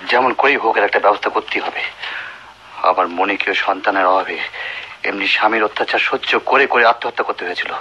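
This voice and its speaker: A man's voice: on the low side, a little fast, pushed and bright, slight background noise. A man acting in a melodramatic radio play as a principled, grieving doctor, emphatic and emotional, on a dull old recording. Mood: anguished resolve. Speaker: radio drama actor.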